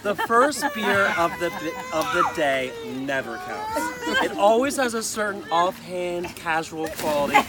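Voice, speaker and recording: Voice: mid-range voice, very animated, measured pace, very noisy. Someone reading a humorous letter aloud to family, amused and often breaking into laughter. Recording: loud crowd chatter, outdoor family gathering. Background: people chatting and laughing.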